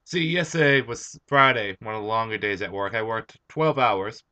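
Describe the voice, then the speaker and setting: A young man with a hoarse, tired voice, just out of bed, rambling through a casual comic book review. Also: lowish voice, normal speed, bright, forceful tone. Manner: rambling, chatty, with frequent "uh" and restarts.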